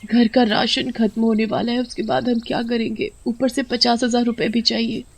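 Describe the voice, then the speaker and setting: A woman's voice: on the high side, little pitch movement, normal speed, voice pushed up. A woman voicing a worried, supportive wife in a dramatised story, anxious yet encouraging.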